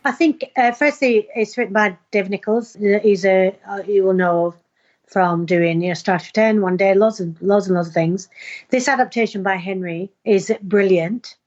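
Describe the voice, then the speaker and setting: An actress talks in an informal interview, earnest and full of emphatic enthusiasm. Her voice sits at an average pitch for a woman. The recording is slightly dull and lo-fi. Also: normal speed, darkish tone. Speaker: actor being interviewed. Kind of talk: informal interview, promoting an audio play.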